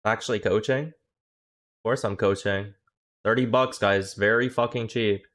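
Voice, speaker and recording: Young man, streamer, low voice, casual cursing, joking banter. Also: fairly even intonation, fairly bright tone. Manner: profane, joking, quick reactions. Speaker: streamer and coach. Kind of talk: casual livestream chat.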